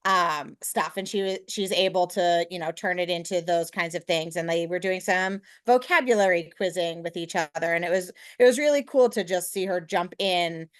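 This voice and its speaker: A woman giving a casual report on a video call, measured and hesitant, with many fillers. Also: fairly low pitch, no echo, studio quality.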